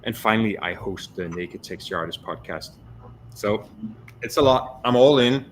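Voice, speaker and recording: Man from Denmark, lowish voice, confident, light hiss behind. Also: animated, moderate pace. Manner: fluent, confident, wry. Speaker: guest, texture artist, podcast host. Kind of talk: panel self-introduction. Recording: faint background noise.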